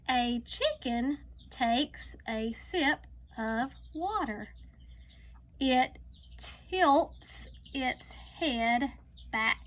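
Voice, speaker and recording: Slow, high-voiced woman teacher reading aloud. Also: pushed and bright. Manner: slow, deliberate reading with stretched words and teaching asides. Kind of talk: reading a picture book aloud to young students.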